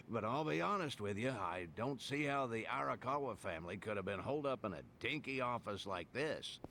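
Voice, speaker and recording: A man's voice: mid-range voice, expressive, moderate pace, vocal fry, fairly bright tone, a little hiss or hum. An actor playing a genial, easygoing older local man in an English video game dub, rambling in a folksy, amused way. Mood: candid.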